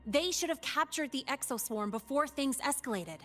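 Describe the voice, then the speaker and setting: A young female academy student from a video game, with a fairly high voice. She is guarded and quick-witted, speaking with cool, wry defensiveness over music. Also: lively intonation, a bit bright. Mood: composed, explaining.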